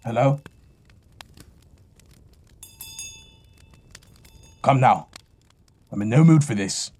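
Grumpy old miser, wildly swinging delivery. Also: male voice, medium pitch, animated, moderate pace, darkish tone, breathy edge. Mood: alarmed, defiant. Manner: curt, scornful, exclamatory. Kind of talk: audio drama acting.

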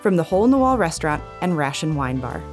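A woman's voice: low-pitched, big pitch swings, fast, music in the background. A woman announcer reading a scripted advertisement. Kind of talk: scripted ad read.

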